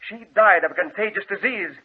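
An actor playing a scheming, jealous brother in an old-time radio horror drama, speaking in an urgent, commanding, melodramatic way. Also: male voice, high-pitched, a little fast, husky, narrow, tinny audio. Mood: stern insistence. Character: scheming, jealous brother, cold villain feigning concern. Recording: thin, band-limited vintage radio recording.

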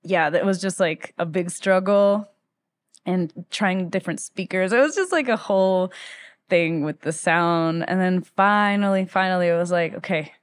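A woman's voice: fairly low pitch, moderate pace, no echo. A woman from Ecuador, around thirty, telling stories casually as a musician being interviewed, with "like" sprinkled through her speech. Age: late 20s to 30s. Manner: informal, peppers speech with "like". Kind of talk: casual conversational storytelling.

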